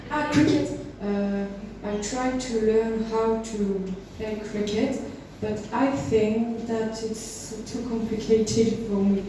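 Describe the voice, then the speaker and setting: A woman's voice: fairly high pitch, steady tone, slow, mellow. An eighteen-year-old woman from France speaking English hesitantly, with many fillers. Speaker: foreign guest student. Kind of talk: casual classroom talk in English. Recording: echoey room, mic far off, noise.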